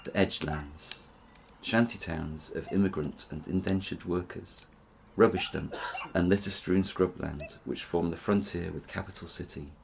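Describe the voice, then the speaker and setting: A man narrates in a lyrical, scripted storytelling style. His voice is very deep with a gravelly creak, and his delivery is measured. Clear background noise sits under the voice. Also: steady tone, rough recording.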